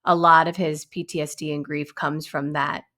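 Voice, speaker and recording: A woman hosting a podcast interview, speaking gently and reflectively. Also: deep voice, flat delivery, moderate pace. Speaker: podcast host. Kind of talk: empathetic interview conversation.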